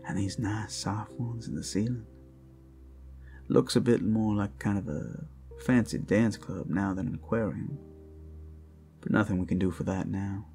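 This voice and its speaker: A man's voice: fairly low pitch, steady tone, a little slow, warm tone, soft background music. A man voicing a playful, flirtatious and friendly werewolf in an ASMR roleplay, teasing a single listener. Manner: teasing, smooth-talking, addressing one listener. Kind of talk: ASMR roleplay, intimate character acting.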